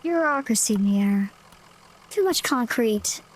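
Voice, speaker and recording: A woman voicing a calm, thoughtful electrician on a road trip in a video game, unhurried and reflective. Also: a little high, voice pushed up, poor audio.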